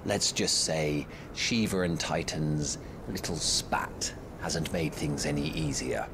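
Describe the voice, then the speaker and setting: Very deep, stern soldier. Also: male voice, takes it slowly, noisy background. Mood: dry, grim. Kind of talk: cinematic fantasy game acting.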